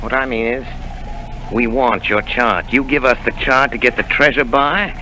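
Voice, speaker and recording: A man doing a cunning one-legged captain, sharp bright tone, wheedling and falsely polite, old radio hiss. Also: medium pitch, fairly even intonation, normal speed, close to an everyday voice, poor audio. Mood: menacing. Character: sly one-legged male pirate captain, smooth-talking and menacing. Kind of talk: 1930s radio drama acting.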